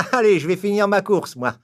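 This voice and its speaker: A man voicing an arrogant, scoffing hare in an animated children's fable. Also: high-pitched, measured pace. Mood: smug. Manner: arrogant, scoffing, laughs at others. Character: boastful, mocking male hare, smug and lazy. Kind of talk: animated fable acting in French.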